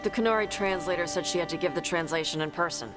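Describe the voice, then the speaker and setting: Actor voicing a blunt young qunari warrior, curt, casual, mid pitch, music behind. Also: quick pace, lightly acted. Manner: curt, casual, few words.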